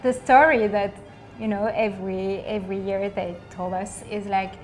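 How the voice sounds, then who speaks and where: A woman's voice: medium pitch, steady tone, moderate pace, soft background music. A woman from the south of France chatting casually and asking curious follow-up questions. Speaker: language learner guest. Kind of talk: casual chat.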